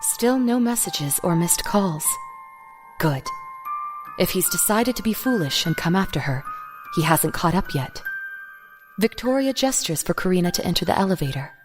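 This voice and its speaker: A woman narrates a scripted dramatic story. Her voice sits a little low, and music is clearly heard beneath the reading. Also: expressive, moderate pace.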